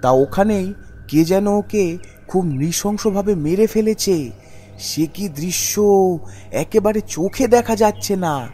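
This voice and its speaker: A man's voice: high voice, slow, mellow. A man voicing an alarmed, gossiping villager in a cartoon ghost story, telling grim news in an urgent, dramatic way.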